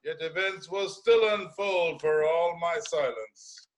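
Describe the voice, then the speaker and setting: A man's voice: a little high, slow pace, rough recording. A man playing a bitter, defiant old blind prophet in a Greek tragedy reading, with declamatory, ominous delivery. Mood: stubborn, indignant.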